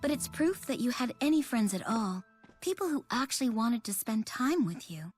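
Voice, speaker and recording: A gentle young advisor from a video game. Her voice is slightly high, her manner thoughtful and earnest. Faint music sits behind it. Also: very animated, normal speed, slightly rough, dry recording. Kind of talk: video game cutscene voice acting.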